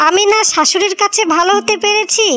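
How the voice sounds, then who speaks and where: Scheming, sulky young-wife villain for a moral cartoon, played by a woman: piercingly high, bright, bitter, music underneath. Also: steady tone, fairly quick. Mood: bitter, resentful. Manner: complaining, sarcastic, vindictive. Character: jealous, resentful elder daughter-in-law, scheming and bitter. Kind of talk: Bengali moral-story cartoon acting. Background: background music.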